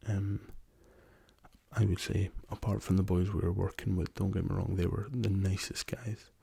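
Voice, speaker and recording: A young man with a hoarse, raspy voice from a lingering cough, talking softly and hesitantly as an ASMR host, slipping into whispers. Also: low voice, fairly even intonation. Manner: soft-spoken, slow and hesitant with many ums, drifting into whispers. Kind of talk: soft-spoken ASMR travel chat.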